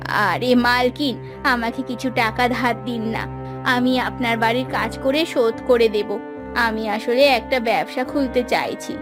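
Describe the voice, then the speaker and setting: Lady playing a hopeful hardworking village wife in a cartoon, soft spoken and earnest, music low behind. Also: unhurried, close to an everyday voice. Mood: pleading. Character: hardworking, hopeful, determined young village housewife and maid.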